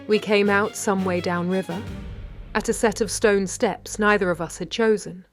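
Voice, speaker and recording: An actress playing a wry, brave 1930s London lady detective, narrating in a measured, literary British voice. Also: mid-range voice, fairly even intonation, soft background music, close to an everyday voice. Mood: spent, tender. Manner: measured, literary, dryly understated. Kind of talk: scripted first-person audio drama narration.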